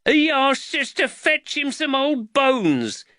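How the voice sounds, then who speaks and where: A man's voice: very high voice, big pitch swings, takes it slowly, sharp tone, no echo, studio quality, pitched above the performer's own voice, full character voice. A man voicing a sulky schoolboy in trouble, squealing and whining defensively in a storybook.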